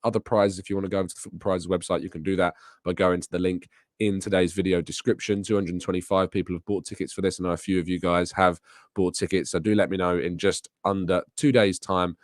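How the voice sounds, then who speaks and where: A young adult man, hosting a solo football podcast in a casual way, his voice raspy and hoarse from a sore throat. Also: sits low, little pitch movement, quick pace, dry recording, studio quality. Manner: conversational, frequent ums. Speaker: podcast host. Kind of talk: solo football news podcast with live chat questions.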